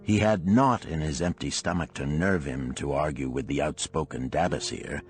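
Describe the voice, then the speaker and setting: A man's voice: rumbling low, normal speed, soft background music. A man reading a parable aloud as scripted audiobook narration.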